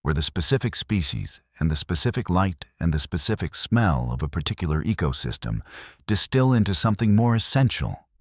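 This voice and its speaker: Male sleep-meditation narrator, bass-deep, contemplative scripted delivery, very dry sound. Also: very animated, a little fast, dry recording.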